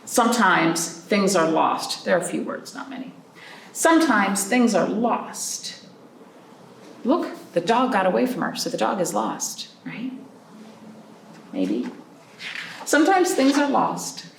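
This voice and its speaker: Animated woman reading a children's storytime. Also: medium pitch, gravelly. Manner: prompting listeners with questions and pauses; expressive, drawn-out reading of story phrases. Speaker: children's librarian hosting storytime. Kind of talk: read-aloud storytime for young children. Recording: roomy, distant mic, faint noise.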